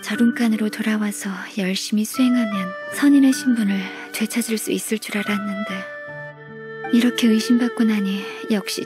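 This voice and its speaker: A woman as a gentle, polite young disciple. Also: a little high, close to an everyday voice. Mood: downcast, resolute. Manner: polite, soft-spoken, formal. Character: gentle, earnest young female half-immortal disciple, self-doubting. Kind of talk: video game voice acting.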